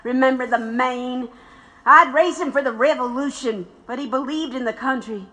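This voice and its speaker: A woman's voice: sits high, moderate pace, bright, forceful tone. A woman playing a tough, reflective widowed revolutionary in an audio drama. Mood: wry, resigned.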